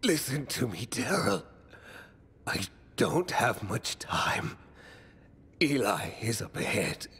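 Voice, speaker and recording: A man playing a weary, remorseful fallen mastermind in a video game, speaking gravely and haltingly with breathy trailing phrases. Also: mid-range voice, big pitch swings, a bit bright, exaggerated voice. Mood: urgent. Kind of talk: dramatic video game monologue.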